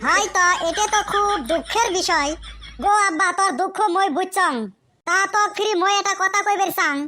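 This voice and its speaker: A man's voice: very high-pitched, steady tone, normal speed, pushed and bright, exaggerated voice. A man voicing a cheeky, scheming village son in a comic cartoon. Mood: cheeky pleading. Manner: cheeky, argumentative.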